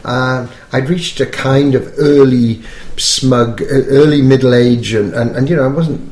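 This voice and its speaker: A middle-aged or older man with an educated Welsh accent, a poet reflecting in an interview in a thoughtful, halting, self-correcting way. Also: mid-range voice, steady tone, a little mellow. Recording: echoey room.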